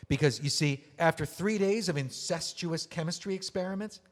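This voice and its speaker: An actor playing a betrayed widower in an audio drama, mocking and seething with anger. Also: male voice, fairly high pitch, moderate pace, lightly acted. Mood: angry. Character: bitter betrayed widower, man. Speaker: widower writing a memoir. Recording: long hall reverb.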